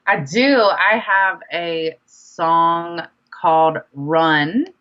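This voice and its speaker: Young woman, muffled recording, low-pitched voice, interview guest, informal and expressive. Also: very slow. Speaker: guest rapper and songwriter being interviewed. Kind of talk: casual interview chat.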